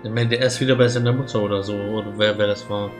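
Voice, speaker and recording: A man's voice: fairly low pitch, fairly even intonation, fast. A man playing a game live, chatting casually as a streamer. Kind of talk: casual live playthrough chat. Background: game music.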